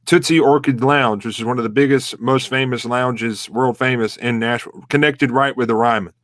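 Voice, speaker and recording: A man's voice: medium pitch, fairly even intonation, normal speed, fairly bright tone, no room sound, studio quality. A man hosting a casual interview, friendly and encouraging, asking open questions. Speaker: host.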